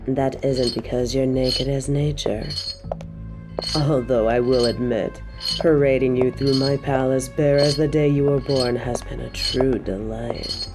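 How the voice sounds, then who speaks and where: A woman's voice: extremely low pitch, fairly even intonation, over music. A woman playing a possessive, imperious evil queen in an audio roleplay, taunting and haughty. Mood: gloating, menacing. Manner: slow, taunting, imperious, with breathy drops to a whisper. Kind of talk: scripted audio roleplay drama.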